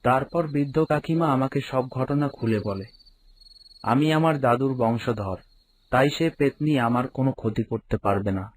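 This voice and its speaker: A young man narrating a horror story in the first person, in a steady, earnest storyteller's way. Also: mid-range voice, normal speed, slight background noise. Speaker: narrator and hero.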